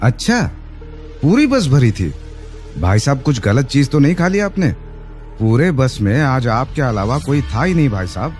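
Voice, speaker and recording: A man's voice: medium pitch, big pitch swings, moderate pace, a little mellow, soft background music, close to an everyday voice. A man voicing an irritated, dismissive bus conductor in a cartoon, mocking and exasperated. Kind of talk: cartoon voice acting.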